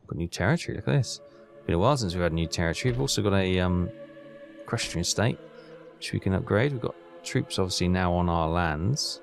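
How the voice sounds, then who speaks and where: A man's voice: low-pitched, expressive, brisk, creaky, soft background music. A man hosting a gaming video, giving casual commentary and thinking aloud in a chatty way as he plays.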